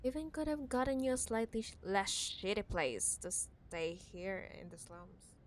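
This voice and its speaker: Slow-talking, possessive corporate ex-girlfriend, acted by a woman. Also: mid-range voice, a little hiss or hum, natural voice. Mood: condescending, exasperated. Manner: teasing, condescending, scornful, drawn-out emphatic delivery. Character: possessive, condescending wealthy female CEO ex-girlfriend in cyberpunk city. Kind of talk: ASMR audio roleplay, intimate dramatic monologue.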